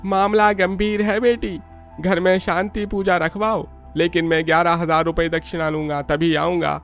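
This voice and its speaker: Creaky-voiced greedy priest, played by a man. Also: high-pitched, flat delivery, moderate pace. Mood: grave, demanding. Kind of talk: cartoon story dialogue. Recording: muffled audio with background music.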